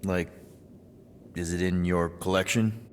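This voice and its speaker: A man's voice: sits low, fairly even intonation, slow pace, a little hiss or hum. An actor voicing a flippant but good-hearted space adventurer in a video game, casual and a little hesitant in his speech. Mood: sincere, awkward. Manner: casual, joking, hesitant when sincere. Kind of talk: cinematic video game voice acting.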